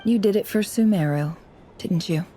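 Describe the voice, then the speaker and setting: Game spymaster, woman actor: composed, shrewd, soft dark tone, faint music behind. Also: fairly low pitch, natural voice. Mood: gently teasing. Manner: measured, polite, knowing. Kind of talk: video game cutscene acting.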